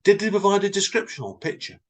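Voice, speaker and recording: A man's voice: high-pitched, sing-song, fast, pitched above the performer's own voice. A man voicing a shocked, doubtful accounts manager in a short story reading. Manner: incredulous, questioning.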